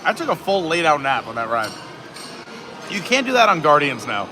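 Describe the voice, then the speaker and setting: A man's voice: fairly high pitch, big pitch swings, moderate pace. A man hosting a live stream, chatting and joking over a meal in a restaurant. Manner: chatty and joking, trading quips. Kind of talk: casual chat over a meal. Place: indoor theme-park restaurant. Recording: background music. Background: music.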